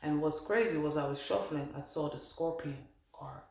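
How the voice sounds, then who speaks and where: A man's voice: fairly high pitch, normal speed, creaky, a little mellow. A man working as a medium, giving a meandering channeled card reading and relaying what spirits tell him. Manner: meandering, thinks aloud, relays spirit messages. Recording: roomy echo.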